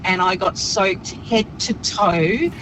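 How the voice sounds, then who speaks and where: A woman's voice: mid-range voice, slow. A woman phoning a radio show and casually telling an anecdote. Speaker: radio caller. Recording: noisy phone-in, vehicle ambience.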